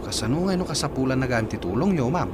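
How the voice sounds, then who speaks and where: A man's voice: mid-range voice, expressive, fast, background noise, close to an everyday voice. A man acting a good-natured, respectful household driver in a radio drama. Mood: concerned, pleading.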